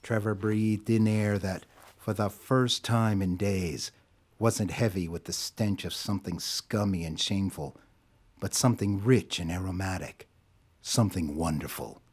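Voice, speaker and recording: A man narrating an audio drama in a measured, deliberate storytelling style. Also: deep voice, slightly rough.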